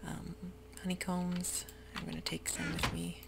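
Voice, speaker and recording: A woman whispering softly through a relaxed, rambling ASMR game commentary. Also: on the low side, a little slow, gravelly, heavy background noise. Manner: soft whisper, rambling and easygoing. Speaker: YouTuber playing a game. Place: home room with sprinklers outside.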